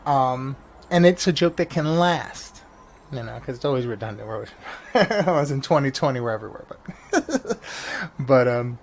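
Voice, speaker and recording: Rambling New York comedian with a janky mic. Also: male voice, mid-range voice, normal speed, gravelly. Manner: animated, rambling with frequent restarts and fillers. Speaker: stand-up comedian and podcast host. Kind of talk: casual rambling vlog podcast. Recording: faint engine noise behind. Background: engine noise.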